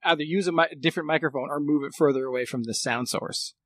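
Guy, bit higher voice, super dry close sound, explaining tech stuff, halting, rewords a lot. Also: a bit bright, no room sound. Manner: explanatory, halting, rephrases often. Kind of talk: casual technical explanation.

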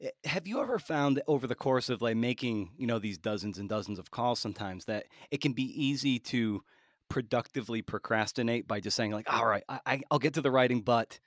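A man hosting a podcast interview who asks thoughtful questions, often halting and restarting as he speaks. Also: mid-range voice, dry recording, studio quality. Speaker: podcast host. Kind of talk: conversational interview.

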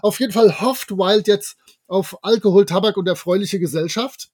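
Male podcast co-host, high register, enthusiastic and casual discussion style. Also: moderate pace, darkish tone, breathy edge. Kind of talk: casual discussion and retelling of an audio drama.

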